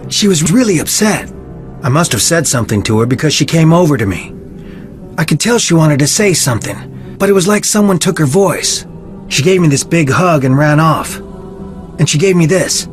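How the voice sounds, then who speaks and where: An actor performs a young man weighed down by regret in a mystery game. His voice sits a little high, earnest and hesitant, with faint music behind. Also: animated, moderate pace, lightly acted. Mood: regretful confession. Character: guilt-laden young man confessing, gentle and regretful. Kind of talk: video game voice acting.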